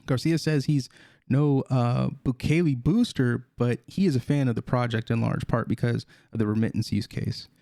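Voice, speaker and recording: A man with a gravelly, mellow voice reads a news story aloud and breaks in with his own comments. He stumbles and restarts now and then, leaning on fillers. The sound is dry and close. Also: medium pitch, moderate pace, no echo. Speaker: crypto video host. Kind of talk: reading an article aloud with commentary.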